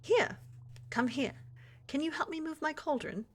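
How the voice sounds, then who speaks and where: A woman's voice: high-pitched, expressive, a little slow. A woman playing a flirty, motherly witch shopkeeper in an ASMR fantasy roleplay, with a coaxing, teasing manner. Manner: coaxing, teasing, addresses listener as dear.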